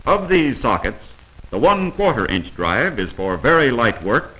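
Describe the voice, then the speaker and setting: Bright-voiced 1940s American training film narrator, male. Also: mid-range voice, very animated, a little slow, poor audio. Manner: didactic, admonishing. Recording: old 1940s film soundtrack.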